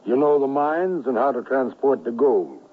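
A stern, suspicious ringleader in a western radio drama, issuing brisk orders. His voice is slightly husky, heard through a very narrow, phone-like old broadcast recording. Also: medium pitch, lively intonation. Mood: firm, urgent. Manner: brisk, authoritative, giving orders. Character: commanding, suspicious male leader of a Confederate gold conspiracy.

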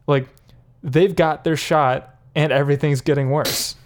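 A man's voice: a little high, fairly even intonation, measured pace, slight background noise. A man co-hosting a casual podcast, rambling through his points with many fillers and frequent laughs. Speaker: podcast co-host. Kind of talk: casual political chat.

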